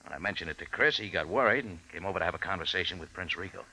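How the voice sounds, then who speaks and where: An actor playing a tough, wry bar owner hero in an old radio crime drama, narrating and talking in terse, slangy phrases. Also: male voice, sits low, racing, pushed and bright, full character voice. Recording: dull vintage radio recording, music behind.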